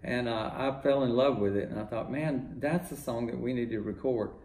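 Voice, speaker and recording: An older man from Texas, a music producer talking in a relaxed, rambling way full of fillers, in his home studio. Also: medium pitch, moderate pace, echoey room. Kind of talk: casual interview talk.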